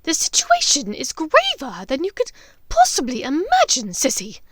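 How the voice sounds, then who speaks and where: A high, forceful villainess for an audiobook, acted out by a woman narrator. She is a fanatical dark witch who snaps out imperious orders. Her pitch leaps about, menacing and unhinged. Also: wildly swooping pitch, moderate pace, heavy background noise. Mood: imperious, urgent. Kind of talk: audiobook character acting.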